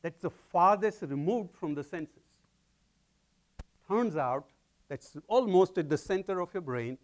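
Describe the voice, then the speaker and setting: A middle-aged man with an Indian accent giving an animated public science lecture in a large lecture hall, pacing and gesturing. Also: high voice, unhurried.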